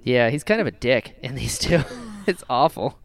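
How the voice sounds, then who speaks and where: A man's voice: mid-range voice, expressive, moderate pace, vocal fry, a crowd in the background. A man hosting a casual fan discussion podcast, talking animatedly and often slipping into mock quotes of imagined dialogue. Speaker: podcast host.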